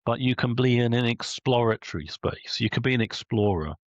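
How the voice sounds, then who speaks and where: An older man with flu, croaky, joining an online panel late, talking in a rambling, self-deprecating way over a video call. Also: mid-range voice, animated, fairly quick, no room sound, dull audio. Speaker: panel participant.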